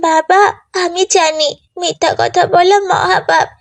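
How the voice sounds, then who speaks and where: A woman's voice: very high voice, level tone, a little slow, creaky, no echo, exaggerated voice. A woman voicing a timid, apologetic young girl in a children's moral cartoon, with a pleading, childlike manner. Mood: sheepish, apologetic.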